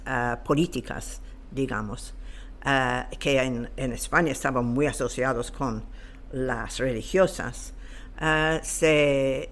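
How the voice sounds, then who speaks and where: A man explaining history in an interview in a hesitant, halting way, often searching for words. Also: medium pitch, a bit bright.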